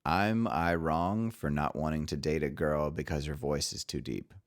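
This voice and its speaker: A co-host with an extremely deep, low voice reads out listener questions and weighs in on them. The delivery is dry and wry, with low-key humor. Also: moderate pace. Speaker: podcast co-host. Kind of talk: casual advice chat.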